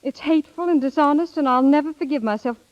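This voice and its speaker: An actress playing an innocent, reluctant younger sister, earnest and dryly witty, in a muffled 1930s radio drama. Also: female voice, high voice, fast talker, natural voice. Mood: reluctant, amused.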